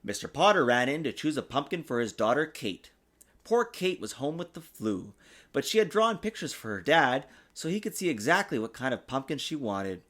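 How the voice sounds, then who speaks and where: A man reading a children's storybook aloud in an expressive, sing-song way, as a father does for his young child. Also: medium pitch, moderate pace. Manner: patiently answers child's interruptions. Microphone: desktop USB microphone.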